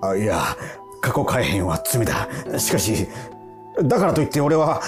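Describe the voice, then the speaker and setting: A man's voice: fairly low pitch, sing-song, normal speed, over music. An actor in an audio drama playing a stern, blunt time patrol officer who is torn over his duty. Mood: torn, troubled. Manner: blunt, gruff, weighty speech.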